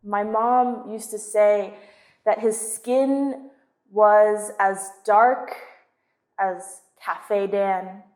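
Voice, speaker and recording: A woman from Southern California telling a personal story in a measured, confessional spoken-word monologue. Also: mid-range voice, fairly even intonation, darkish tone. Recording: roomy echoing space.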